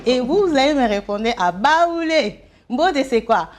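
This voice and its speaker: A woman's voice: high-pitched, expressive, measured pace. A woman co-hosting a talk show, playful and chatty, mixing in Lingala greetings to viewers. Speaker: co-host. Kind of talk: casual chat.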